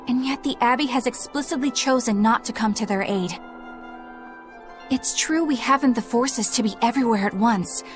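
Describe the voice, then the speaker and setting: A woman's voice: fairly high pitch, lightly acted. An actress playing a formal, earnest young female exorcist in a game.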